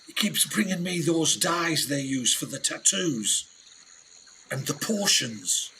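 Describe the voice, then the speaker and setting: An actor playing a rough, indignant British scientist on a 1920s jungle expedition, speaking in emphatic slang for a radio play. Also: male voice, a little high, animated, slow pace, bright, forceful tone, slight background noise, full character voice. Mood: grave, secretive. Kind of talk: dramatic 1920s adventure radio acting.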